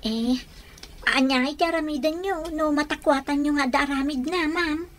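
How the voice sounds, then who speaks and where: A woman's voice: high voice, normal speed, fairly bright tone, slight background noise. A woman acting in a radio drama as a polite, deferential and hesitant caregiver.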